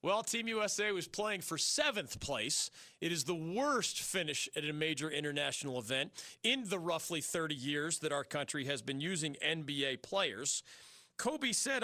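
A male sports radio host taking calls, talking fast and emphatic, pushing his opinions with rhetorical questions. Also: high-pitched, sharp tone, no room sound, studio quality. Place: radio studio. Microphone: studio mic.

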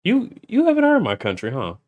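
A man's voice: high-pitched, wildly swooping pitch, fairly quick, narrow, tinny audio. A man hosting a podcast, chatting casually with a guest and laughing often. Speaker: podcast host.

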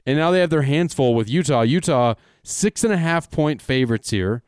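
A man co-hosting a sports betting show in a casual, jokey way. His voice is smooth and clean, recorded dry and close with no room sound. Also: a little high, expressive, moderate pace, a little mellow, no room sound. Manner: jokey, hypes with "baby". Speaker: podcast co-host.

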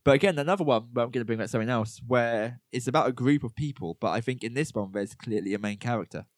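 A man's voice: medium pitch, fast, no room sound, clean studio sound. A young man co-hosting a podcast in casual chat, rambling and filling his talk with "like" and "you know". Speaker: podcast co-host.

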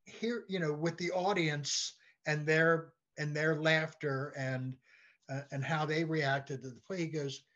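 An American man chatting casually as an interview guest, telling anecdotes in a halting way and often repeating words. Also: a little high, fairly even intonation, a bit bright. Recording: dull, lo-fi call-like audio.

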